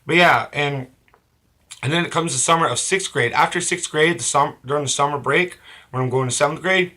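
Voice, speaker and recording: Young guy with a cold, hoarse but bright voice, rambling confessional storytime, keeps restarting sentences. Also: on the high side. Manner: rambling, halting, frequent restarts and self-corrections. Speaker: vlogger. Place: at home.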